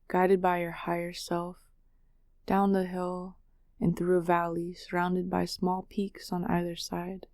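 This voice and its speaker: Woman, meditation guide, very slow pacing, soft mellow tone, soothing, long pauses, dry close sound. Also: lowish voice, dry recording. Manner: soothing, unhurried, measured delivery with long pauses.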